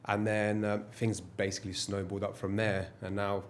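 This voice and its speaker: A man's voice: fairly low pitch, little pitch movement, moderate pace, slight background noise. A man whose first language is Italian, talking casually as a guest advisor in a business interview, conversational and often checking in with "right?".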